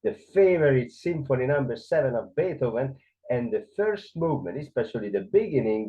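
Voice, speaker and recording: A man with a Hungarian accent teaching conducting, explaining the beats and sometimes counting or singing the rhythms aloud. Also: medium pitch. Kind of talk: explanatory music lesson. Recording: dull lo-fi sound.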